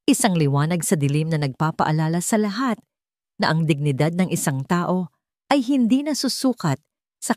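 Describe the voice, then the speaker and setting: A woman with a Filipino accent narrating a scripted dramatic story in a measured storytelling delivery. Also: on the low side, darkish tone, no room sound, clean studio sound.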